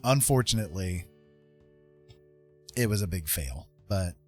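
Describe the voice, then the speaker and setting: A man's voice: sits low, animated, measured pace. A man hosting a livestream, chatting casually and telling personal stories in a rambling, informal way. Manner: rambling, informal, frequent "like" and "you know". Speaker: livestream host. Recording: soft music behind the voice.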